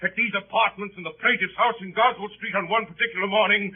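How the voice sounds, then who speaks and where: Pompous courtroom barrister, high voice, relentless hectoring, theatrical oratory, muffled scratchy old radio broadcast. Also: male voice, steady tone, fast talker, slightly rough. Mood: bullying, sarcastic.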